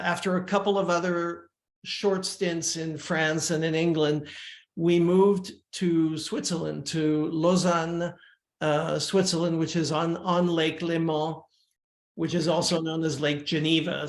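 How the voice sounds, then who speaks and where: An older man from Uruguay, a musician guest, talking in a measured, reflective way with frequent restarts. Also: fairly high pitch, level tone. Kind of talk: casual interview talk. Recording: echoey room.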